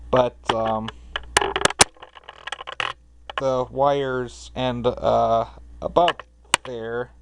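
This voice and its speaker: A man's voice: mid-range voice, very slow, gravelly, long pauses. A man giving a casual, hesitant, rambling show-and-tell, narrating as he films on a handheld camera. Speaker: hobbyist vlogger. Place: around a house, basement and outdoors. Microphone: handheld camera microphone. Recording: noisy camcorder audio, steady background noise.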